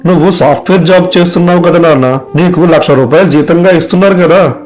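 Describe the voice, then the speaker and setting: A man's voice: fairly high pitch, fast talker, a little mellow, soft background music, natural voice. A man playing a concerned, caring father of a grown son in a story dialogue. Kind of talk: animated moral story dialogue.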